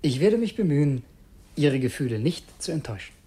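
Young revolutionary assassin, radio play, slightly husky, polite, formal, secretly scheming, measured diction. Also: male voice, a little high, very animated, mellow. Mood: courteous. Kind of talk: German radio play acting.

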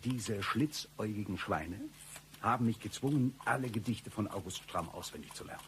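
Pompous bragging mercenary in a radio satire, kinda deep voice, theatrical, hum and noise behind. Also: male voice, normal speed, a bit bright. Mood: boastful. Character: boastful male mercenary and ex-lecturer, menacing yet pompous. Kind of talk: satirical German radio play acting.

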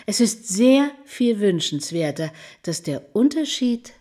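An actress playing a snobbish, self-important middle-aged aunt in a radio play, moralizing in a pompous, condescending way. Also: female voice, mid-range voice, very animated, takes it slowly, noisy background. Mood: haughty, disapproving.

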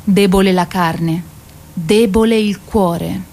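A woman's voice: mid-range voice, slow, darkish tone. An actress playing a proud, weary queen of Greek myth who waits, in a declamatory, ironic radio drama monologue. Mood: confessional. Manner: declamatory, ironic, lingering on repeated words.

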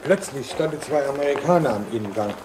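A man playing an old villager, recalling wartime hardship plainly and haltingly in a radio play. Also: mid-range voice, expressive, natural voice. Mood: plain recollection. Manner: plain, halting, colloquial storytelling. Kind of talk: radio play eyewitness recollection. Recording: clear background noise.

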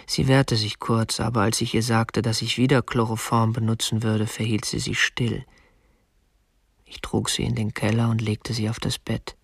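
Controlled young kidnapper, slightly creaky. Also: male voice, on the low side, level tone, normal speed, no echo, studio quality. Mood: detached, confessional. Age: young adult. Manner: matter-of-fact, controlled, politely stiff. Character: prim, obsessive young male kidnapper confessing his crime. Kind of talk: radio drama narration and dialogue.

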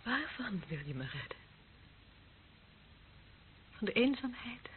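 A woman's voice: a little high, very animated, slow pace. An actress playing an embittered, lonely young policewoman in a radio drama, bitter and taunting, often dropping to a whisper. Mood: bitter, desolate. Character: embittered, lonely young policewoman, former death squad member. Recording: muffled phone-like band, clearly noisy old recording.

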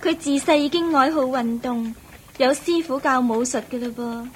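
Casting: actress as devoted, reassuring queen, high voice, measured and gentle, Hong Kong Cantonese radio drama, faint background noise. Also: natural voice. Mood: fond, proud. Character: gentle, devoted, reassuring middle-aged queen and foster mother.

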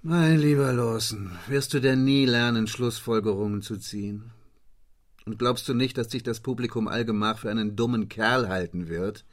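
An actor playing a bored, conceited detective in a German radio play, languid and lecturing in a condescending way. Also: male voice, medium pitch, fairly even intonation, moderate pace, natural voice. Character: bored, conceited male detective, a Sherlock Holmes parody.

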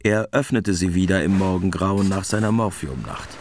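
A man's voice: low voice, moderate pace, background noise. A man narrating a radio play from a script.

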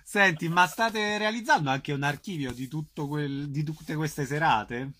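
Slightly high-voiced male radio host. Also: unhurried, bright, forceful tone, slight background noise. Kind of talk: casual radio interview.

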